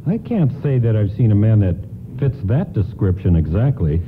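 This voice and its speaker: A man's voice: lowish voice, sing-song, moderate pace. An actor playing a friendly, courteous department store doorman in a radio play. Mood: helpful, reassuring. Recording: narrow phone-like radio broadcast, faint noise.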